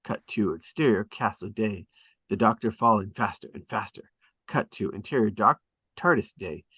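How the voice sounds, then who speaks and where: A man's voice: lowish voice, lively intonation, normal speed, fairly bright tone, slightly rough, no echo. A man reading stage directions at a drama table read, with emphatic, clipped and dramatic phrasing.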